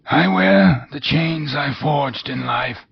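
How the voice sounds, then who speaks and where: A man voicing the wailing, chained ghost of an old miser, with a high quavering voice that rises to cries. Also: slow pace, vocal fry, heavily acted. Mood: mournful wailing. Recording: dull lo-fi recording with faint hiss.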